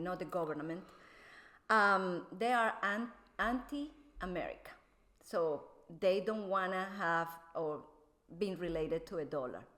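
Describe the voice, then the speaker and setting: A Venezuelan woman speaking English as a second language, explaining things to a show host in a halting way with many fillers. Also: lowish voice, a bit bright. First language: Spanish. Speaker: guest. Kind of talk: casual explanatory chat.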